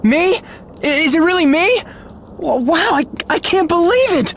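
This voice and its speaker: A man playing a timid, gullible prize winner in an animated game cutscene, stammering in breathless disbelief. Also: sits high, unhurried, a little hiss or hum. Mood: stunned, overjoyed.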